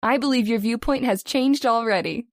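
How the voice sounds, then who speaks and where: An actress playing a chatty, teasing and forthright young hospital receptionist in an old-time radio drama. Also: female voice, a little high, normal speed, natural voice. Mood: curious. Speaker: voice actor.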